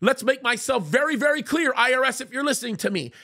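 Man in his 40s, very high voice, gravelly fry, emphatic indignant commentary, studio mic. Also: animated, fast, pushed and bright. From United States. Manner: indignant, emphatic, reads quotes aloud. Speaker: pastor commentator. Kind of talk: fiery commentary. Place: small dark studio, leather chair. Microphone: studio mic on boom in front.

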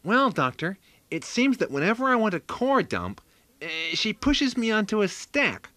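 High, bright man acting a nerdy husband. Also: wildly swooping pitch, normal speed, no echo, full character voice. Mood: awkward complaining. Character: nerdy, awkward computer-geek husband, eager and oblivious. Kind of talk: radio sketch comedy acting.

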